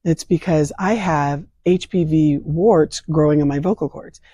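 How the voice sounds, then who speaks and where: Hoarse older woman explaining earnestly. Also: deep voice, unhurried, dark tone, no echo, clean studio sound. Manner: earnest, explains with vivid analogies. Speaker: state leader of a vaccine advocacy group. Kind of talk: explanatory interview answers. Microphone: remote interview from home.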